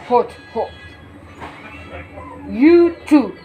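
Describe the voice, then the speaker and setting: A woman's voice: a little high, animated, very slow, narrow, tinny audio, soft background music. A woman voicing a solemn, terse storybook owl with emphatic hooting answers.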